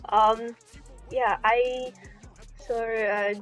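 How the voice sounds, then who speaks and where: A woman's voice: on the high side, little pitch movement, very slow, soft background music. A woman hosting a podcast live stream over a call, rambling and giggly as she talks to her chat.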